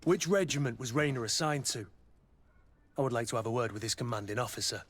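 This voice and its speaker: A man acts out a battle-hardened captain in a fantasy video game. His medium-pitched voice is terse and blunt, that of a soldier who looks out for his men. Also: fast, fairly bright tone, natural voice. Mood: urgent, demanding. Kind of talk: dramatic video game acting.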